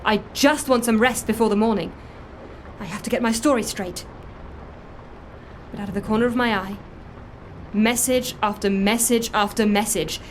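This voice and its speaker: A woman's voice: mid-range voice, a little hiss or hum, close to an everyday voice. An actress playing an anxious, self-justifying woman in an audio drama, telling her story in a fussy, emphatic, defensive way. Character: anxious, self-justifying woman confessing impersonation, earnest dog lover. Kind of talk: audio drama dialogue, first-person confession.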